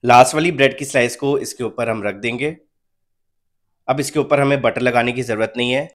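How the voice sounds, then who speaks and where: A young man calmly narrating a home cooking recipe step by step as the channel's host. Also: mid-range voice, fairly quick. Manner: calm, step-by-step instructional.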